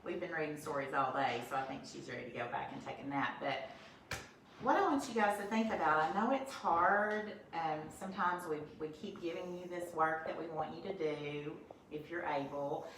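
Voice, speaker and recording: A woman teaching first grade, reading a storybook aloud in an expressive way and then explaining the lesson gently to young children. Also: low voice, normal speed. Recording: roomy echo from a distance, faint noise.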